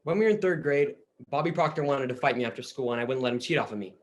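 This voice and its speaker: A man's voice: medium pitch, expressive, fast, natural voice. A man playing Samuel, a shy, sincere and slightly goofy young man trying to win over a girl, speaking over a video call. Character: Samuel, awkward earnest young man with a crush. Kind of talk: stage reading of a comic play.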